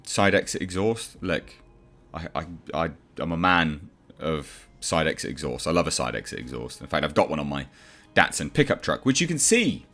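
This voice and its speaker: A man's voice: rumbling low, very animated, normal speed, a bit bright. A man hosting casual movie news commentary, opinionated and blunt, with sardonic asides. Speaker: host of a movie news channel. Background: background music.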